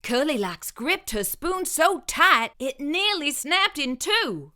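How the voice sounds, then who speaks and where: A woman hosting a story time and reading a picture book aloud, expressive and sing-song. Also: high-pitched, slow. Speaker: storyteller host.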